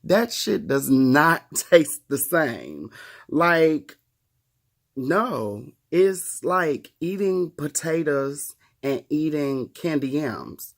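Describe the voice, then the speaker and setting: A man's voice: on the high side, lively intonation, drawn-out words. A man in his thirties chatting casually to the camera in a wry, profane, chatty way. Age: 30s. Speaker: vlogger.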